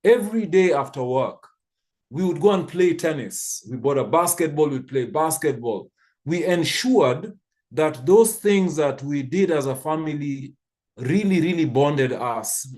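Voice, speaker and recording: A man's voice: on the high side, lively intonation, a little slow, darkish tone. Man in his fifties, from Kenya. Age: 50s. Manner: thoughtful storytelling with frequent restarts. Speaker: panelist. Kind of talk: church panel discussion.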